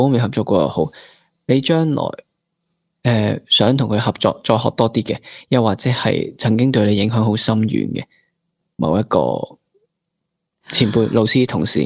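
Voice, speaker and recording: A man's voice: mid-range voice, expressive, moderate pace, gravelly, dry recording, studio quality. A man in his late twenties with a Hong Kong Cantonese accent, hosting a conversational interview reflectively and slipping in English words. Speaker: interviewer, fellow actor.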